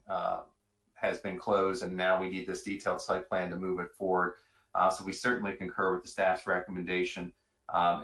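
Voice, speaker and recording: A man with a very deep but forceful voice addresses a public hearing as an attorney. He is courteous and halting in his phrasing. The room sounds echoey around him. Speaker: attorney representing an applicant. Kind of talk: semi-formal public hearing remarks. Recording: roomy, echoing meeting room sound.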